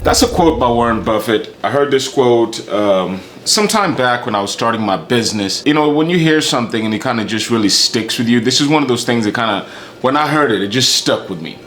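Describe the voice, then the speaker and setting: A man's voice: medium pitch, expressive, a little fast, fairly bright tone, slight background noise. A man from Kenya giving a casual, conversational monologue as host of his channel, often repeating words and restarting sentences. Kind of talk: casual talking-head monologue.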